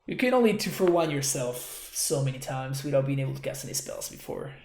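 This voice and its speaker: A man's voice: medium pitch, animated, fairly quick, gravelly, a little mellow, reverberant. A man from Argentina, a native Spanish speaker with a Spanish accent, casually commenting on his own play as a streamer, thinking aloud with wry, self-deprecating remarks and frequent restarts. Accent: Spanish-accented English.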